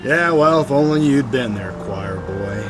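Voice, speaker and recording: A man's voice: mid-range voice, expressive, measured pace, over music, natural voice. Man acting a sardonic, hard-drinking veteran cop. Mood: mocking. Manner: sardonic, wry, needling. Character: cynical, hard-drinking veteran male police detective, mocking. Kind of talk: 1940s crime drama game acting.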